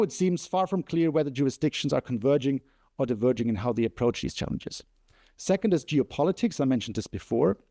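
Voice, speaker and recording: Mellow-voiced male moderator, dry sound. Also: mid-range voice, no echo. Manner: measured reading with occasional um fillers. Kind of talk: scripted panel introduction. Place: conference venue.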